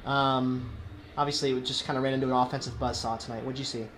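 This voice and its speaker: Man interviewing with an even pitch. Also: fast. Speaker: press conference interviewer. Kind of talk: sports recap and questions. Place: indoor press conference room, off camera. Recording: faint background noise.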